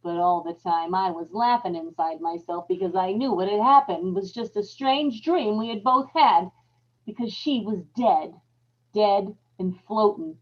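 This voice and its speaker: A woman's voice: medium pitch, lightly acted. Haunted wife confessing murder, female actor, intense and emphatic, old-time radio horror style, slightly muffled lo-fi sound. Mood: gloating, sinister. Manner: intense, emphatic, repetitive storytelling with dramatic pauses. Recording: dull lo-fi live radio-play audio.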